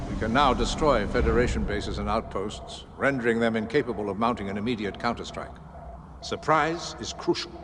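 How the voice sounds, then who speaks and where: An actor playing an imperious warrior commander in a video game, delivering a grandiose, deliberate speech to cadets. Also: male voice, medium pitch, long reverb tail, noisy background, natural voice. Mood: commanding. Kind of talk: dramatic game cutscene monologue.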